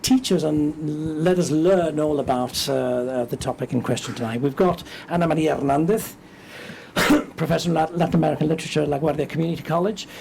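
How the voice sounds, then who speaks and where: A man from England who directs a cultural institute, opening an event by welcoming guests and introducing a panel in a chatty, self-joking way, hoarse as he is losing his voice. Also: on the high side, fairly quick, a little mellow, slight background noise, low-quality recording. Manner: chatty and self-joking, with many fillers and restarts.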